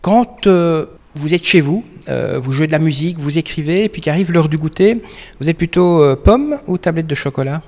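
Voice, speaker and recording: A man's voice: fairly high pitch, animated, normal speed, darkish tone. A man hosting an interview podcast, asking friendly, playful questions and reading the sign-off.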